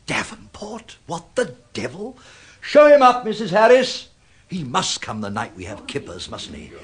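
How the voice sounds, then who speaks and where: Prim older Englishman, highish and lively. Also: measured pace, husky, heavily acted. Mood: prickly, guarded. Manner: polite, hesitant, stiffly formal. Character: fussy, prickly older male clerk, polite and proud. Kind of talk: British radio drama acting.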